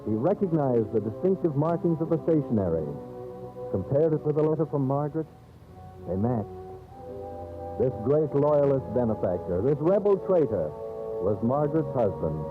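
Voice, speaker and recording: A man narrating a scripted 1930s radio drama in a solemn, theatrical, measured storyteller's manner. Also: medium pitch, sing-song. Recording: old scratchy 1930s radio, narrow phone-like band. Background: dramatic background music.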